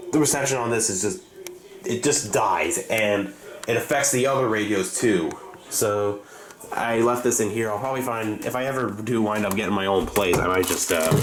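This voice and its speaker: A man giving an unscripted, casual show-and-tell as a hobbyist video host, in a halting, rambling way with self-corrections. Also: fairly low pitch. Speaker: hobbyist video host reviewing his radio collection. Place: indoors in rooms at home. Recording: echoey room with faint background hiss.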